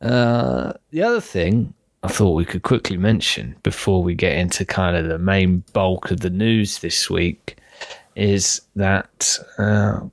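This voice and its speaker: Husky man, low voice. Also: lively intonation, slow pace, no echo, clean studio sound. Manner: chatty, wry, rambling. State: husky from illness. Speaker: podcast co-host. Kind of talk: casual podcast chat.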